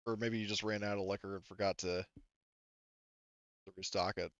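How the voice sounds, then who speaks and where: A man's voice: on the low side, little pitch movement, normal speed, a bit bright. A man speaking as game master of a tabletop roleplaying game, casually profane, talking players through the rules. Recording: dull, lo-fi close dry voice track.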